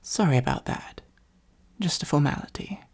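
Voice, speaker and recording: A performer voicing a theatrical supervillain in an ASMR roleplay, with a soft breathy delivery, pompous and sardonic. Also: mid-range voice, lively intonation, moderate pace, lightly acted. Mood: wry, concerned. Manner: pompous monologuing, sardonic exasperated asides. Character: theatrical gloating supervillain, secretly fond of the hero.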